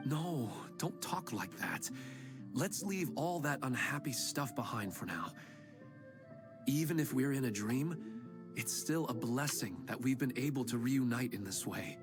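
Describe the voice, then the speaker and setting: An actor playing a humble, sincere middle-aged father who talks in a gentle, plain-spoken way, over game music. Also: mid-range voice, expressive, a little slow, close to an everyday voice. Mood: earnest. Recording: game audio with music behind.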